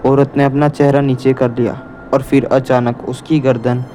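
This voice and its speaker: A man's voice: medium pitch, flat delivery, moderate pace, warm tone, slight background noise. A man narrating a scripted horror story as a storyteller.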